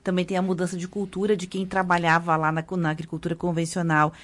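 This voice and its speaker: A woman's voice: lowish voice, little pitch movement, fast talker. A woman with a Brazilian accent asking questions as an interviewer in a conversational style.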